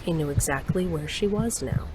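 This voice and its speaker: A woman's voice: deep voice, moderate pace, noisy background. A woman delivering scripted narration for an audio drama.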